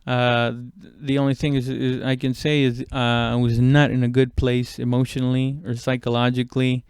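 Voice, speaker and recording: Guy just rambling on his podcast, smooth clear voice, swears a lot, stop and start, really dry sound. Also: mid-range voice, little pitch movement, a little mellow, dry recording. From immigrant, moved abroad at seven. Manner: profane, conversational, halting. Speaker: stand-up comedian podcast host. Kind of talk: casual rambling monologue.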